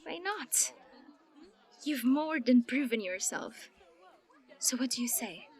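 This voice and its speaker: A woman playing a caring team captain who speaks calmly and with authority. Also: high voice, slow pace, a faint crowd, full character voice. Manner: calm, warm and commanding. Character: steady, caring young female captain. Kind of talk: audio drama acting.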